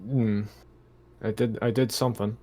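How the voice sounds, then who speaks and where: A man's voice: lowish voice, steady tone, normal speed, mellow, slight background noise. A man playing a tabletop game online, chatting casually and musing aloud as he works out his moves.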